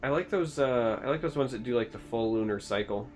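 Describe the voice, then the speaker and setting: A man's voice: mid-range voice, fairly quick, a little mellow, faint music behind. A man from Michigan hosting a casual podcast chat, asking questions and cracking dry jokes. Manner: dry, joking, asks questions.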